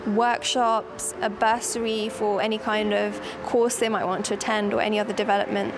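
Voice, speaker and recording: Reflective Leicester poet over background noise. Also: female voice, mid-range voice, flat delivery, normal speed. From Leicester, England. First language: Gujarati. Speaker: poet and performer. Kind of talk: documentary interview. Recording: noisy background hum.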